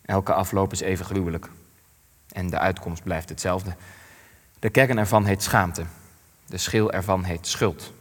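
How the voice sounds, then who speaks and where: Old war survivor, low voice, grave and guilt-ridden, radio play, big echoey reverb. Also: male voice, steady tone. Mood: ashamed, haunted. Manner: sombre, measured, short weighty phrases. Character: elderly male war survivor, grief-stricken, ashamed, plain-spoken. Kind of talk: radio play acting, testimony. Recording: long hall-like reverb.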